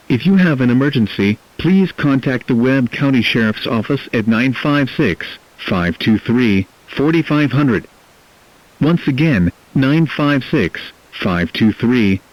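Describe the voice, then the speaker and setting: A man's voice: mid-range voice, close to an everyday voice. A man playing a formal emergency alert announcer, reading a scripted message. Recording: dull lo-fi alert broadcast.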